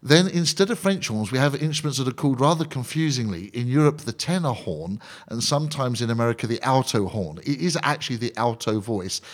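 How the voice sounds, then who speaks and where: A male conductor talking as a guest on a radio interview, with a voice of middling pitch. He explains with eager energy, cracks jokes and drifts into asides. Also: expressive, a little fast, hardly any pauses. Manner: enthusiastic, jokey, digressive explainer.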